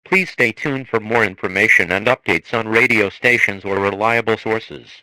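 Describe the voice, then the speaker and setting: A man reading a scripted emergency broadcast in a formal, measured manner. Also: on the low side, noisy background, close to an everyday voice. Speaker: emergency alert announcer.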